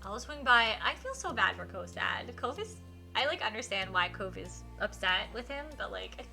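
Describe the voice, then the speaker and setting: Bright-voiced, enthusiastic woman streaming a story game. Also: mid-range voice, expressive, normal speed, creaky, soft background music. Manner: enthusiastic, gushing reactions to the story. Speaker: live streamer reading a visual novel aloud. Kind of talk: expressive story reading mixed with casual stream chat.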